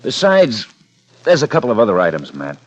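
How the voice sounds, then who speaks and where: A muffled old radio recording with music behind carries a police lieutenant. His voice is on the low side but very animated in pitch, while his questioning stays calm, dry and understated, in fifties crime drama style. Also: a little fast. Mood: weary, businesslike.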